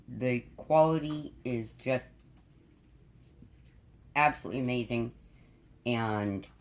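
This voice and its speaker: Raspy, congested woman with a cold. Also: extremely low pitch. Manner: chatty, rambling, frank. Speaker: diamond painting YouTuber. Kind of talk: casual chat to viewers. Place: at home.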